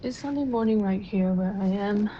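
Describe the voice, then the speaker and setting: Low-key woman streamer, dull earbud mic. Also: normal speed, slight background noise. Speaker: livestreamer. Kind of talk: casual stream chat while gaming. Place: at home. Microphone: wireless earbuds mic on a phone. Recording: dull lo-fi earbud mic, game music behind. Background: game music.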